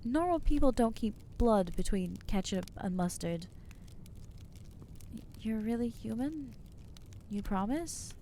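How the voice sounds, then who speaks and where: A woman voicing an anxious, guilt-ridden new vampire in an ASMR roleplay, halting and self-doubting, with wry exasperation. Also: fairly high pitch, lively intonation, warm tone, slight background noise. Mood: alarmed, suspicious. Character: anxious newly turned female vampire, guilty and overwhelmed. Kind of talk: ASMR roleplay acting to the listener.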